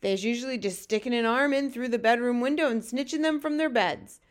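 Lady doing a kind flustered giant from a kids book, highish voice, muddly folksy grammar. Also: a little fast, natural voice. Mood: explaining, earnest. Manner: folksy, garbled grammar and invented words. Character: kindly, flustered male giant with muddled speech. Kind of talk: children's storybook character voice.

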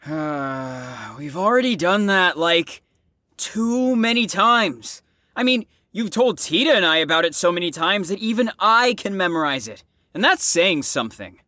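Brash young patient woman performer, audio drama. Sharp bright tone, very animated, exaggerated complaining, close dry sound. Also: on the low side, measured pace, dry recording, full character voice. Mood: bored, griping.

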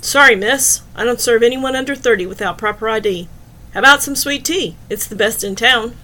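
A woman's voice: fairly high pitch, fairly quick, bright, forceful tone. Woman reading a firm middle-aged bar owner. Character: stern, burly middle-aged male pizza-bar owner.

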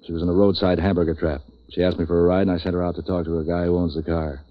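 An actor playing a streetwise, wisecracking man for hire in 1940s radio noir, terse and deadpan. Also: deep voice, rapid-fire, close to an everyday voice. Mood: blunt, cool. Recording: muffled vintage radio recording.

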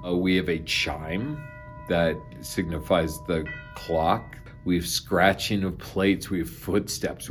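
A man, a theatre director, explaining in an interview in a matter-of-fact way. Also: low-pitched.

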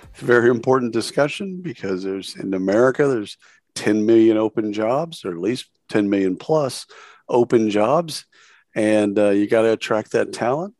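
A man's voice: mid-range voice, darkish tone, dry recording. Guy hosting a recruiting podcast, dry close sound, faint music under. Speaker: podcast host. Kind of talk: podcast interview.

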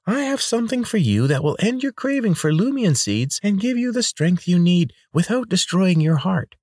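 A man voices a pudgy, oily healer from a storybook, his nasal voice squeaking high above the narrator's. He wheedles and coaxes, and his voice comes across a little breathy. The sound is dry and close. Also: sing-song, normal speed, darkish tone, no echo, exaggerated voice. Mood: wheedling.

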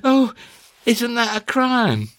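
A man's voice: very high-pitched, wildly swooping pitch, takes it slowly, fairly bright tone, dry recording, clean studio sound, full character voice. A man playing an accused poet in a theatrical interrogation, agitated, defiant and faltering as he protests. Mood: flustered. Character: accused poet, panicky, defiant, whiny suspect. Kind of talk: theatrical performance-poetry interrogation dialogue.

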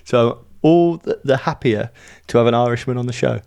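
Smooth, clear Brummie man hosting a podcast. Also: medium pitch, normal speed, darkish tone. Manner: informal, self-deprecating. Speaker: podcast host.

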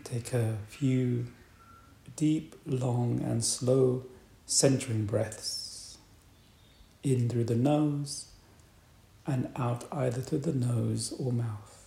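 A man leading a guided meditation as a teacher, speaking in a calm, deliberate manner with long pauses. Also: mid-range voice, creaky. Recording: roomy echo, faint background noise.

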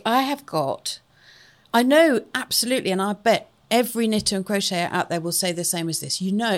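A woman from Wales co-hosting a casual craft chat show, chatty and rambling, with a self-deprecating humour. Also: medium pitch. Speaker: co-host.

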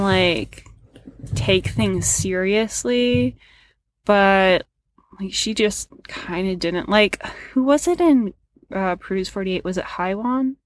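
A woman's voice: mid-range voice, no echo, rough recording. A woman chatting casually about a competition show, rambling with lots of fillers and frustrated sighs. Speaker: co-host reacting to a competition show. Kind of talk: casual reaction chat.

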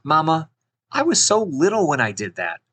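Man voicing an earnest, high-pitched young storybook girl. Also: normal speed, slightly rough, full character voice. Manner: earnest, expressive storybook delivery.

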